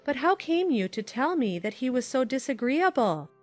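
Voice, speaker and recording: A woman playing a talkative, opinionated middle-aged aunt in a dramatized audiobook, in a lively manner. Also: high voice, normal speed, dry recording, soft background music. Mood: chatty. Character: chatty warm-hearted middle-aged aunt.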